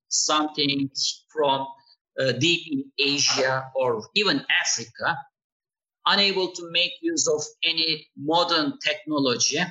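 An older man from Turkey with a Turkish accent, explaining history as a podcast guest in a measured way with frequent pauses. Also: a little high, pushed and bright, poor audio. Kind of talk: podcast interview answer.

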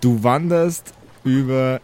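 A man's voice: medium pitch, slow pace, darkish tone. A man working as game master, narrating an improvised tabletop game and bantering with players. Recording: clearly audible background noise.

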